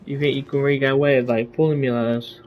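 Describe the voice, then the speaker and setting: A man's voice: mid-range voice, level tone, fast talker, highs cut off, a little hiss or hum. A young adult man giving casual, rambling gameplay commentary as a streamer, thinking aloud as he goes. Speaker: game streamer.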